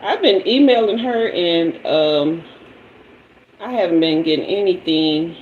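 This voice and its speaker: Slow-talking chatty woman in her fifties. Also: on the low side, phone-quality sound, a little hiss or hum. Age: 50s. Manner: chatty and playful, greeting viewers and asking for emojis. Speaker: tarot reader hosting a livestream. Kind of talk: casual livestream chat with viewers.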